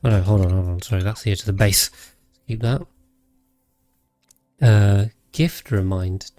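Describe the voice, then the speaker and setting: Calm, low-pitched British man. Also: dry recording, faint music behind. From Britain. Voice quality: calm, measured voice. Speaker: live streamer, host. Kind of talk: casual game commentary while chatting with viewers.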